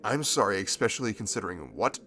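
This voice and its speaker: A man acting a nervous, smitten young man in an intimate ASMR roleplay, speaking in a halting, stammering, bashful way. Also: on the low side, very animated, sharp tone, a little hiss or hum. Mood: anxious, apologetic. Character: nervous, smitten young man confessing to his childhood best friend.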